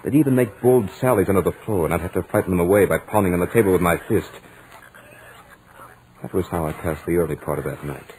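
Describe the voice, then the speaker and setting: An actor as deep-voiced gentleman narrator of radio horror. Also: slight background noise, lightly acted, low-quality recording. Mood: uneasy, suspenseful. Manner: dramatic, deliberate storyteller with suspenseful emphasis. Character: scholarly gentleman lodger narrating his haunted-house ordeal, Mister Lane. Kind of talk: 1940s radio horror acting, first-person narration. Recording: vintage 1940s radio recording, music behind.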